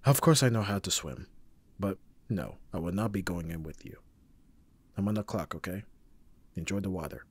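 A man playing a gruff, teasing, protective young bodyguard with a husky voice in an ASMR roleplay, sardonic but reassuring. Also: low voice, animated, moderate pace, long pauses. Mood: exasperated, teasing. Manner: sardonic, abrasive yet reassuring. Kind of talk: ASMR roleplay audio drama.

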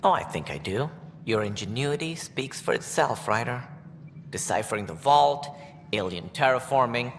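A calculating alien director in a sci-fi game. He is pompous and formal, with a bright, very mobile pitch. The voice rings in a large hall over faint ambience. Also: lively intonation, moderate pace, creaky, slight background noise. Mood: flattering. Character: smooth, calculating male alien director, self-important political schemer.

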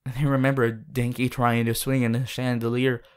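A woman voicing a sweet, bashful, excitable teenage anime boy in an affectionate, halting ASMR roleplay addressed to the listener. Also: a bass voice, clean tone. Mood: amused, reminiscing. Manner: halting, affectionate, with small hesitations.

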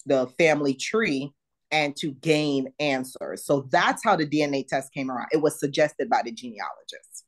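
A middle-aged woman from Jamaica with a mild Jamaican accent, telling her story animatedly as a guest on a video call interview. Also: moderate pace. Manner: animated, jumps high to act out her own past words. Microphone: video call webcam.

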